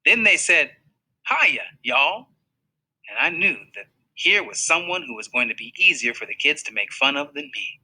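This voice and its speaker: A man reading a children's novel aloud in an expressive storytelling manner. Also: a little high, brisk, hardly any pauses, poor audio. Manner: expressive storytelling, voices for characters. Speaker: reader.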